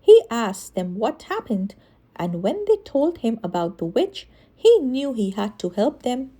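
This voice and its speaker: Animated, high-voiced woman reading to children. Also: measured pace. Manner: animated, dramatic emphasis. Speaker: children's story reader and channel host. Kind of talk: expressive read-aloud storytelling for kids.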